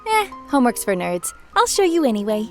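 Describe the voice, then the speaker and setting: A woman voices a young cartoon mother with a high, animated voice. She is sweet and polite on the surface and a dangerous killer underneath, with faint music behind her. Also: brisk. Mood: doting. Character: sweet young mother who is secretly a deadly assassin. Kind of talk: cartoon parody voice acting.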